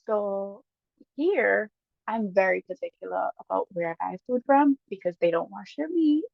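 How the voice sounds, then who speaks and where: A woman with a Guyanese accent, a guest casually telling stories with lively bursts and frequent laughs. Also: mid-range voice, gravelly, no room sound. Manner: lively, laughs often, quick bursts when excited. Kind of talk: casual storytelling chat. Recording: dull lo-fi dry sound.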